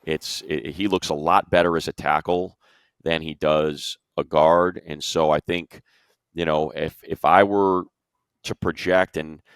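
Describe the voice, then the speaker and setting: A man's voice: a bass voice, steady tone, measured pace, clear, resonant tone, no echo. An American man giving casual sports analysis in an emphatic way, repeating himself for stress. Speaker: sports show analyst, play-by-play announcer.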